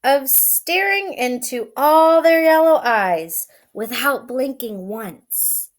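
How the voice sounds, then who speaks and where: A woman reading a children's picture book aloud expressively, drawing out phrases and adding simple asides for young listeners. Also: sits high. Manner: expressive, drawn-out delivery. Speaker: storybook reader.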